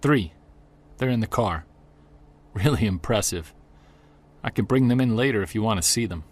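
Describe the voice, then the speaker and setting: An actor playing an earnest, driven middle-aged investigator in an audio drama, speaking intently. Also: male voice, lowish voice, animated, moderate pace. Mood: quietly excited. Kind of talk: audio drama narration and dialogue.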